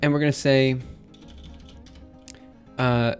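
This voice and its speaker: A man live-streaming his coding session, thinking aloud in an upbeat, chatty way while typing, his voice a little raspy. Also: medium pitch, flat delivery, slow pace, faint music behind. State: slightly raspy after a drink. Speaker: programming livestreamer. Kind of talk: live coding stream, casual talk-through.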